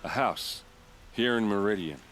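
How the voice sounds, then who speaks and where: An actor playing a gruff, blunt warrior who is grieving, in a video game cutscene. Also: male voice, on the low side, a little slow, fairly bright tone, slight background noise. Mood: reluctant. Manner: blunt, colloquial, exasperated. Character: gruff, hot-tempered warrior man grieving his murdered sister.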